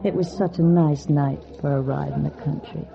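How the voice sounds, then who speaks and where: Teasing nightclub flower girl, lowish voice, knowing manner, 1940s radio drama, dull sound. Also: fairly even intonation, moderate pace, creaky, music in the background. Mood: coaxing, resigned. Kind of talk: 1940s radio noir acting. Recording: dull vintage radio recording.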